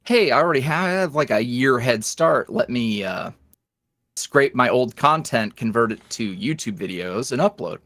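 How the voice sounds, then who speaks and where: A man's voice: medium pitch, lively intonation, normal speed, a bit bright, no echo, studio quality. A man speaking as a podcast guest in casual interview chat, with a wry, self-deprecating, rambling manner. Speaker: podcast guest, ASMR roleplay creator.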